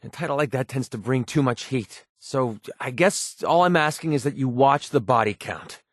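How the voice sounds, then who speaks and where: A man's voice: medium pitch, normal speed, no room sound, lightly acted. A male actor voices a young gun-for-hire from a video game, guarded and cynical. He talks in a mid-range male voice with a sardonic, offhand edge, recorded very dry and close. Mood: guarded, businesslike. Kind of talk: game character dialogue, naturalistic acting.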